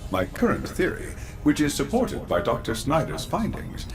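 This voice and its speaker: A man's voice: a little high, big pitch swings, normal speed. An actor playing an elderly, eccentric, fatherly scientist leader in a video game, speaking formally and theatrically with precise diction. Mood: musing, fascinated. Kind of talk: video game cutscene acting. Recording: music under the voice.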